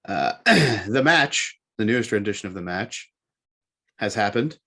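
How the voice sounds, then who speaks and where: Man, fairly deep, rough-edged voice, casual sports talk, measured, thinking aloud. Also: lively intonation, pushed and bright. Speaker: sports show co-host.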